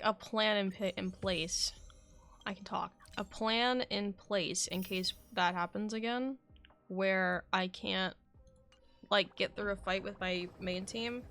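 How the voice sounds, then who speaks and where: A woman livestreams a game in casual, rambling chat full of self-questioning asides. Her voice is medium in pitch with noticeable fry, and there is faint music behind her. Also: fairly bright tone. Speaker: game streamer.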